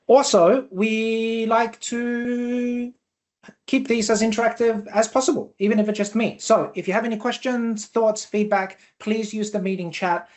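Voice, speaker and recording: A man's voice: high-pitched, darkish tone. Chatty man with Australian-Canadian accent. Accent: Australian-Canadian mix. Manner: chatty, upbeat, frequent fillers. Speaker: webinar host, company founder. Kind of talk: live webinar presentation.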